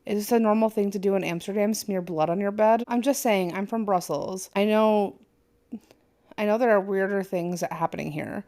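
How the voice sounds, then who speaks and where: A woman's voice: medium pitch, moderate pace, mellow. A woman co-hosting a casual podcast, reading an online post aloud with little asides and stumbles. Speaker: podcast co-host.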